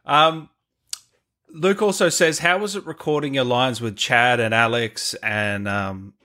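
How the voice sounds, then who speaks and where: Casting: Australian male host, mid-pitched, casual, repeats and restarts his questions. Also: a little slow, bright, forceful tone. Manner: stumbling restarts, reassuring. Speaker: interview host. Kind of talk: casual interview hosting, reading chat comments.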